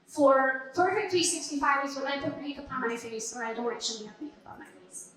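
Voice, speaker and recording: A woman with a high voice giving a class direct, practical tips and telling them what to write down. The sound is very echoey, as if captured in a large, reverberant room. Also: animated, fairly quick, fairly bright tone. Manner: direct, gives instructions to the audience. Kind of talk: classroom instruction.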